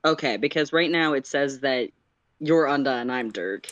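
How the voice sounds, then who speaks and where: A woman co-hosting a livestream, reading fan fiction and chatting in an expressive, playful way. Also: extremely low pitch, moderate pace, no room sound, dull audio. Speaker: co-host and story reader. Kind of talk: fan fiction narration and casual livestream chat.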